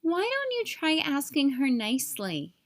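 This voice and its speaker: Woman as storybook mom: high voice, gentle, patient, a little resigned. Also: wildly swooping pitch, unhurried, full character voice. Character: patient, resigned mother of a young boy.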